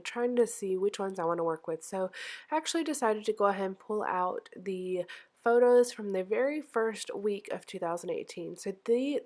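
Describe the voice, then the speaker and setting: A woman's voice: mid-range voice, a little mellow. A woman hosting a scrapbooking channel, talking through her craft process in a chatty, rambling way, with a nasal, congested voice as she gets over the flu. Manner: chatty, rambling, frequent ums and self-corrections.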